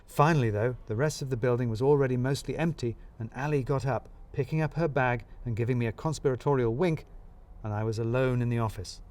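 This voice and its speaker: A man narrating a scripted comic mystery in the first person, wry and expressive. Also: medium pitch, brisk. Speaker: narrator of a serial mystery story.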